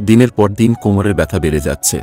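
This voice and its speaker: A man's voice: lowish voice, racing, dark tone, soft background music, lightly acted. A man playing a poor, worried day labourer in a cartoon story, speaking in a humble, plaintive way. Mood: worried, weary.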